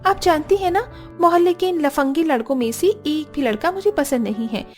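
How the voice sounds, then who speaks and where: A woman's voice: high-pitched, moderate pace, faint music behind, lightly acted. A woman voicing a spirited young woman in a cartoon story. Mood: dismissive, playful. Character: spirited young woman back from abroad, admired by neighbourhood boys. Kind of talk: Hindi cartoon voice acting.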